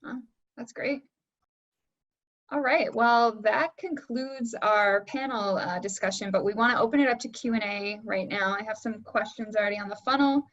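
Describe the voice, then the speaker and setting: A woman's voice: a little high, moderate pace, a bit bright. A woman moderating an online panel question session, speaking informally with some hesitant phrasing. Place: remote video call. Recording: dull, muffled online-call audio.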